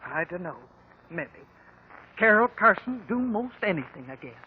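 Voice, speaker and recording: A woman's voice: medium pitch, very animated, normal speed, breathy edge. An actress playing a plain-spoken, placid rural American farm mother in a 1950s radio drama. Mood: placid, reassuring. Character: folksy farm mother soothing her fretful daughter. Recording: tinny narrow-band old radio recording.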